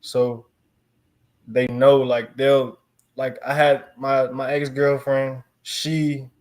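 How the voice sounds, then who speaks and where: A relaxed young man with a Chicago accent chats through an interview, trailing off and restarting his thoughts. His voice sits at an ordinary middle pitch for a man. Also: slow pace. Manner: relaxed, filler-heavy, chuckles often. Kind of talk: casual interview.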